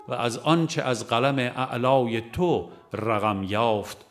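A man narrating a religious audiobook, reciting scripture and verse in a measured, formal way with pauses between phrases. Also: mid-range voice.

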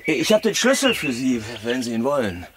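An actor playing a shy, gruff gamekeeper in a radio drama, speaking haltingly and with tenderness. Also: male voice, mid-range voice, very animated, a crowd in the background, close to an everyday voice. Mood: awkward, deferential. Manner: halting, understated, hesitant speech. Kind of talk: German radio drama acting. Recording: studio radio drama with loud music.

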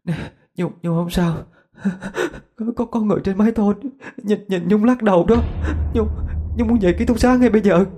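A man's voice: a little high, unhurried, mellow, voice pushed up. A man voicing a frightened, timid young female student in a ghost story, stammering and pleading. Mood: terrified.

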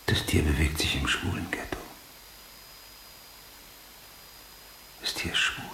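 An actor playing an obsessive, brooding police detective in a German radio drama, speaking in a clipped mutter that drifts toward a whisper. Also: male voice, very deep voice, a little fast, bright, forceful tone. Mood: hushed intensity. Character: obsessive, brooding male police detective hunting a serial killer. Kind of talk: German radio drama acting, interior monologue. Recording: roomy, faint hiss.

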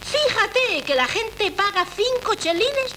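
A pompous, chattering aunt with a high voice that swoops up and down. She gushes and lays down her opinions in 1970s radio-play fashion. The old broadcast carries a clear hiss. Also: very animated, slow pace, pushed and bright, exaggerated voice. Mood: indignant. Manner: theatrical, gushing, opinionated. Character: older woman, pompous aunt novelist, chattering. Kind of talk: 1970s radio drama acting.

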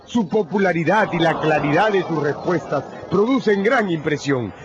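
A man's voice: fairly high pitch, lively intonation, moderate pace, over music. A man narrating a radio drama from a script in a dramatic style. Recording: dull, noisy radio recording.

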